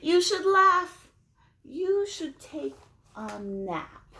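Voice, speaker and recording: A woman voicing eager, bossy animals in a children's storybook, sing-song and exclaiming, with drawn-out words. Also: very high-pitched, very animated, sharp tone, voice pushed up, full character voice. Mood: cheery urging.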